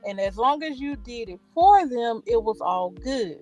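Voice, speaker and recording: Female interview host, higher pitch, loose and chatty with fillers, on a call. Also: animated, measured pace, mellow, faint music behind. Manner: conversational, with frequent fillers. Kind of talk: casual online interview.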